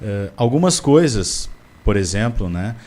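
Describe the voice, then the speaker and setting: A man's voice: on the low side, big pitch swings, unhurried, a little mellow. Hesitant Brazilian male interviewer. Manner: recalls a past visit, long rambling question with hesitations. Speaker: newspaper interviewer. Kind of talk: interview questions.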